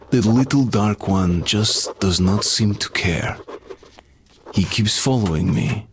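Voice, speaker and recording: An actor playing a weary, reflective soldier who narrates his journey in a measured, brooding way for a video game. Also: low-pitched, slight background noise, exaggerated voice.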